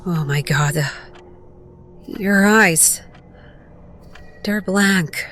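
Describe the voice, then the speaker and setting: Woman acting young haunted adventurer, tense forceful voice, slow and halting, anguished, audio drama. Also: medium pitch, slight background noise. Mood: shock, disbelief. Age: young adult. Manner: anguished, halting, breathy at times. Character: haunted, grieving young adventurer facing a dead parent.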